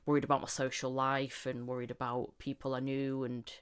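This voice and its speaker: A woman's voice: a bass voice, completely flat delivery, a little fast, smooth. A woman in her thirties talking casually and candidly as a guest, telling her stories with acted-out quotes. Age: 30s. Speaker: voice actor, podcast guest. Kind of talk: casual chat.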